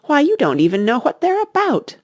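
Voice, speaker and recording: An outspoken young storybook girl, acted by a woman, sensible and argumentative. Her pitch leaps about in a very lively way. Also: brisk, dry recording, clean studio sound. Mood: indignant.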